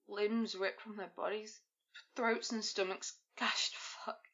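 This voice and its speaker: An actress playing an anguished, dutiful paramedic in an audio drama, speaking with urgent emotion. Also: female voice, medium pitch, steady tone, takes it slowly, a bit bright, dull audio. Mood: shaken, grim. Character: anguished, dutiful woman paramedic taking charge in crisis.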